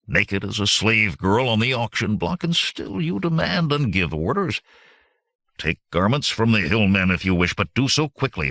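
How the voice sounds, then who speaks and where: A blunt young warrior of a fantasy audiobook, acted by a male narrator, speaks low and with feeling. His words are grave and formal, with ardent swings in tone and a stern, honest temper. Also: a bit bright, dry recording, studio quality, exaggerated voice. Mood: stern, exasperated. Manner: grave, formal, declarative speech.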